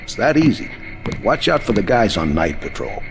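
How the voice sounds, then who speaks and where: Casting: friendly male game ally, very animated intonation, confiding, long reverb. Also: on the low side, moderate pace, vocal fry. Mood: eager, confiding. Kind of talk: video game voice acting. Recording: long reverb, music behind.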